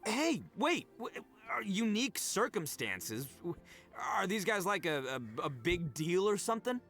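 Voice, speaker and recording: An actor playing a brash, big-hearted young oni gang boss in a video game, boisterous, slangy and cocky. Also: male voice, sits high, sing-song, measured pace, fairly bright tone, faint music behind, exaggerated voice. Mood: sheepish bluster.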